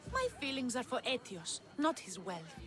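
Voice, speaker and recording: A woman's voice: a little high, sing-song, normal speed, music in the background. A woman playing a naive, lovesick young woman in a video game, earnest and pleading. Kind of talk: dramatic video game acting.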